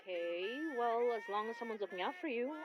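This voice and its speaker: A woman's voice: fairly high pitch, expressive, a little fast, dull audio, background noise, lightly acted. A woman playing a weary, anxious young woman, speaking in a hesitant, confiding way in an intimate audio drama. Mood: subdued, reassuring.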